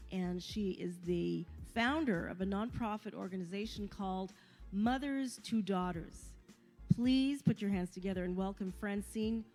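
A woman's voice: mid-range voice, dark tone. A woman hosting a talk show, encouraging and chatty, with many fillers and repeated words. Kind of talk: interview conversation.

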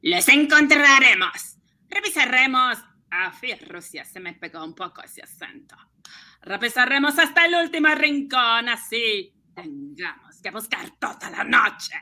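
A man voicing a gruff agent who shouts orders to his men, blustering and strained. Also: extremely high pitch, big pitch swings, measured pace, voice pushed up, exaggerated voice. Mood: commanding. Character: gruff male pursuing agent barking orders.

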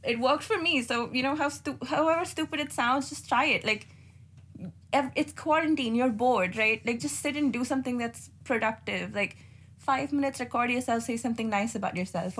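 Husky young woman giving candid advice. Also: fairly high pitch, fairly quick, a bit bright. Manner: candid, self-mocking, with many fillers and restarts. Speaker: guest. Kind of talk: casual advice chat.

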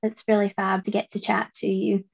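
A woman hosting a podcast, reading introductions and asking questions in a bright, upbeat presenter manner. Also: mid-range voice, level tone, a little fast, gravelly, breathy edge. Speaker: podcast host. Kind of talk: scripted podcast introduction and interviewing. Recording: dull remote home recording.

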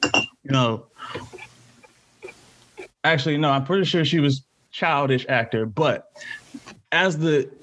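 A man's voice: fairly high pitch, slow pace, pauses often, a bit bright, rough recording. A man co-hosting a podcast in casual chat, slangy, profane and enthusiastic. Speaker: podcast co-host.

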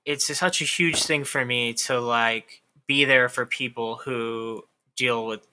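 A man's voice: mid-range voice, fairly even intonation, slow pace, pushed and bright, clean tone. A man in his thirties, a writer being interviewed, talking candidly and at length in a casual way. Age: 30s. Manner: candid, talkative, lots of "like" fillers. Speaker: author guest.

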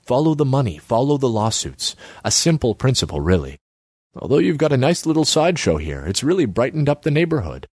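Man playing a pompous politician, animated delivery. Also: mid-range voice, brisk, no room sound, studio quality, pitched above the performer's own voice. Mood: lecturing, airy. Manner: pompous, wordy. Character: pompous, airy male politician and lawyer, self-righteous.

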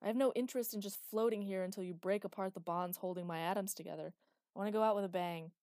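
A woman voicing a reckless, bloodthirsty man who shouts and taunts loudly. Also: medium pitch, fairly even intonation, fast talker, dry recording, clean studio sound, lightly acted. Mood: gleeful, taunting. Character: reckless bloodthirsty young man, gleeful and crude.

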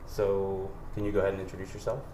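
A man in his thirties with a very deep voice hosts a casual interview podcast. He asks questions in an earnest, curious way. His voice sits in a roomy, echoing space with faint hiss. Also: completely flat delivery, quick pace. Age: 30s. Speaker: podcast host. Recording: roomy echo.

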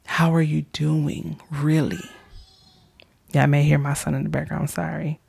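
Woman podcaster losing her voice, gravelly and husky, soft and affectionate, slips into whispers. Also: deep voice, level tone, a little slow. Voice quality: hoarse, gravelly. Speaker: podcast host. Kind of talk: casual faith-based encouragement.

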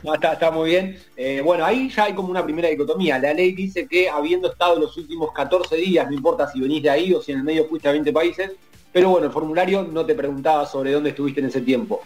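Argentine man, host, higher-pitched, soft music behind, narrates with stammers. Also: fast. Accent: Argentine Spanish. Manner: narrating events, stammers at times. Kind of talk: casual sports talk.

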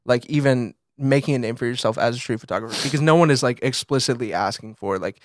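An American man co-hosting a casual conversation, telling stories in an animated, slangy way and peppering his talk with "like". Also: medium pitch, fast, no room sound, studio quality. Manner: animated storyteller, swears casually, frequent "like". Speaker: podcast co-host and photographer.

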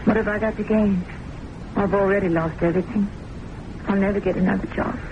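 An actress playing a weary, lovelorn secretary in an old-time radio drama, intense and wistful in manner. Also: female voice, mid-range voice, fairly even intonation, a little fast, natural voice. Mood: weary, bitter. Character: weary, lovelorn confidential secretary, a distrustful woman patient. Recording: muffled, noisy old radio recording.

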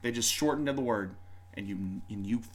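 A man's voice: lowish voice, a little hiss or hum. A man co-hosting a casual podcast, rambling and joking. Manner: rambling, joking, with quick put-on voices. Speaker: podcast co-host. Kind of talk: casual chat.

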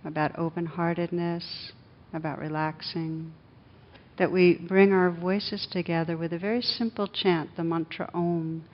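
A woman leading a guided meditation as the teacher, speaking in soothing, measured short phrases with pauses. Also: fairly even intonation. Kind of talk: guided meditation, slow instructive speech. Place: a room with a live group. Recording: dull lo-fi recording, clear background rumble noise.

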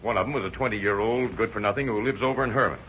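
An actor playing a shrewd, confident police officer with an American accent, methodical and authoritative, in 1930s radio drama style. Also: male voice, on the low side, fast, a bit bright, natural voice. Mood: methodical, assured. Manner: methodical, authoritative, plain-spoken. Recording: dull, noisy old radio recording.

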